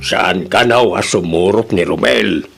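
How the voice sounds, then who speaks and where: A man's voice: low-pitched, unhurried, creaky, faint music behind. A man acting a resigned, admonishing middle-aged father with a Filipino accent in a family audio drama. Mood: matter-of-fact. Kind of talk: Ilocano radio-style family drama acting.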